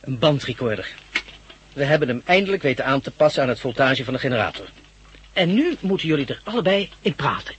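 An actor playing a brisk, eager, bossy male colleague in a 1960s radio drama. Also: medium pitch, big pitch swings, a bit bright, slight background noise.

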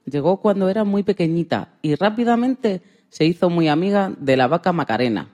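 A woman's voice: deep voice, mellow. A woman presenting a radio segment in a storytelling style, narrating for listeners of all ages.

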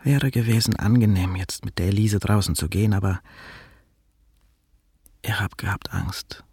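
Hushed, very husky, halting man. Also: on the low side, steady tone, dry recording, clean studio sound. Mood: subdued, anxious. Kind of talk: radio drama monologue.

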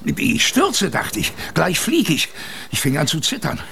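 A man's voice: on the high side, wildly swooping pitch, unhurried, vocal fry, bright, forceful tone, a little hiss or hum, heavily acted. An actor playing a former ship's kitchen boy who reminisces in a colloquial, confiding way as a radio drama narrator. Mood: reminiscing. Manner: colloquial, vivid, confiding storytelling.

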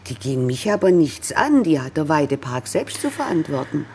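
Abwehrende Geschäftsfrau, lebhafte Stimmlage. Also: female voice, low voice, very animated, brisk, a little mellow, slight background noise. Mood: guarded. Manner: curt, defensive. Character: guarded, defensive businesswoman under questioning. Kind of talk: radio drama acting.

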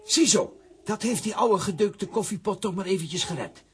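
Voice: medium pitch, animated, moderate pace, slight background noise, lightly acted. An actor playing a talking coffee pot, a playful, cutesy and mischievous little friend in a Dutch-language children's radio play. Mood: gleeful, mischievous.

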